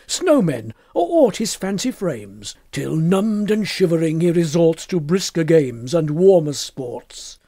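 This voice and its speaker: A man reading poetry aloud in an expressive literary style, with measured and deliberate phrasing. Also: sits high, no room sound, studio quality. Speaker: poetry reader.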